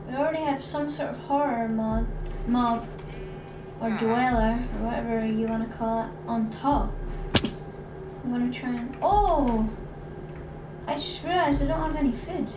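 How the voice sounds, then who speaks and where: A woman with a fairly high voice talks slowly through her game, thinking aloud as she plays. She sits away from the mic in an echoey room, and loud noise runs under her voice. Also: fairly even intonation, recorded from a distance. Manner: chatty, thinking aloud while playing. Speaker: gaming channel host. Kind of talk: Minecraft let's play commentary.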